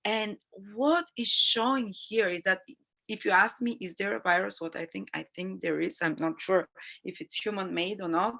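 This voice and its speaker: A woman's voice: medium pitch, normal speed, gravelly, fairly bright tone. A woman astrologer who is sick with a sniffly nose, talking in a chatty, rambling, earnest way on her own live stream. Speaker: astrologer and channel host. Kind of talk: live-stream forecast chat.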